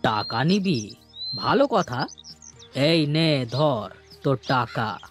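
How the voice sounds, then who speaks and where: A man's voice: mid-range voice, sing-song, slow, music in the background. A man voicing a cruel, greedy village headman in a cartoon, scolding and condescending, with menace in his delivery. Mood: menacing. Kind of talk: cartoon character acting.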